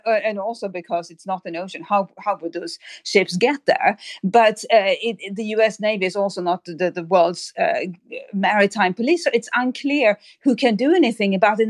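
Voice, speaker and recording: A woman's voice: medium pitch, no echo. A woman speaking as an expert guest in an interview, analysing at length and often repeating words as she thinks aloud. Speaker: expert guest on maritime affairs.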